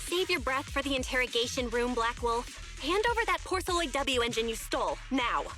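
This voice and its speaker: A determined young female investigator in a video game. Her voice is high and bright. She speaks earnestly and with firm resolve. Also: lively intonation, fairly quick, soft background music. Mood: stern, demanding.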